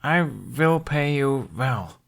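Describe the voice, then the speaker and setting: A man voicing a polite, hesitant young foreign traveler in an audiobook, speaking in halting, broken phrases. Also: mid-range voice, animated, gravelly, pitched above the performer's own voice. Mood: earnest. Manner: slow halting delivery with simple broken phrasing. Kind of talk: audiobook character voice.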